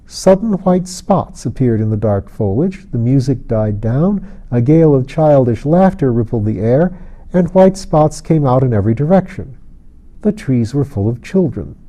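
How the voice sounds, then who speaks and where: A man reading a fantasy novel aloud as an audiobook narrator, in a measured storytelling manner. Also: medium pitch, warm tone.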